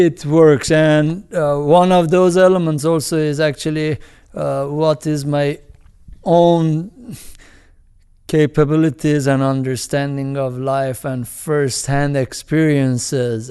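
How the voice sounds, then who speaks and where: A man with an Iranian accent, a film director speaking as an interview guest in a halting way, with many restarts, fillers and chuckles. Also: fairly high pitch, dark tone, clear, resonant tone. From Iran. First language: Farsi. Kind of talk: casual interview talk.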